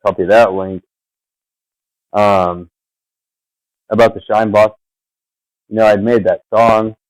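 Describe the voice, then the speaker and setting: A man's voice: fairly low pitch, fairly even intonation, slow, no room sound, poor audio. A man rambling casually at his computer, drifting off and filling gaps with fillers as he talks over his own video. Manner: meandering, trails off, many fillers. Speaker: vlogger.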